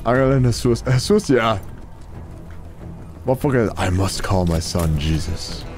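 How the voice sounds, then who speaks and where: A man's voice: lowish voice, expressive, unhurried, darkish tone. A young man giving casual, joking commentary while playing a game, thinking aloud and swearing freely. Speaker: gameplay streamer. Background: game music.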